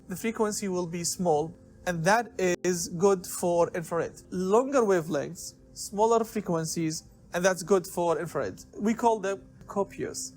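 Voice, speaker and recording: A man's voice: sits high, a little hiss or hum. A man giving an informal explanatory lecture in a didactic way, posing questions and answering them himself. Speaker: lecturer in biomedical engineering.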